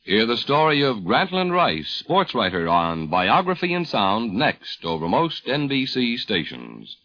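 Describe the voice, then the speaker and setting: A man's voice: medium pitch, huge pitch swings, unhurried. An American man doing promotional announcements as a network announcer on 1950s radio. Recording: dull vintage 1950s radio broadcast.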